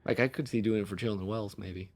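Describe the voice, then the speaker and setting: A man's voice: on the low side, fairly even intonation, fast. A man co-hosting a podcast as an author, chatting casually in a rambling, self-deprecating way.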